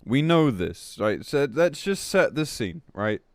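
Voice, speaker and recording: A man's voice: medium pitch, sing-song, measured pace, no echo, studio quality. A man live-streaming in a casual, blunt, exasperated way, commenting between bits of reading aloud and swearing freely.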